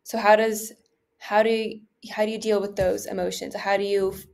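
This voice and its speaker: A young woman with a medium-pitched voice co-hosts a Q&A podcast. She talks casually and informally, thinking aloud as she puts questions across in everyday youthful phrasing. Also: level tone, moderate pace, vocal fry, a little mellow. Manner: informal, peppered with "like" and "you know". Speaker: podcast co-host relaying audience questions. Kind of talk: casual conversational discussion.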